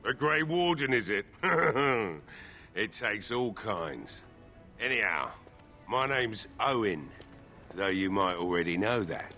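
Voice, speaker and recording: An actor playing a gruff, drunken, despairing village blacksmith in a video game, blunt and emotional. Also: male voice, fairly low pitch, sing-song, slow pace, bright, forceful tone, full character voice. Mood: gruff, wry. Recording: game music under the voice.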